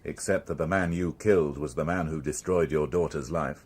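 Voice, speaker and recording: An actor playing a stern, probing police inspector in a radio drama, putting pointed, clipped questions. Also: male voice, rumbling low, normal speed, a little mellow, slightly rough. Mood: accusing.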